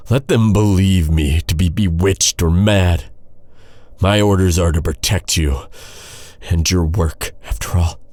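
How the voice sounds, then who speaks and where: Man acting a deep, brooding fantasy knight. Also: darkish tone, no echo. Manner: earnest, dramatic, halting with heavy sighs. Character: brooding, guilt-ridden male knight, bitter yet tenderly devoted. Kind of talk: dark fantasy ASMR roleplay acting.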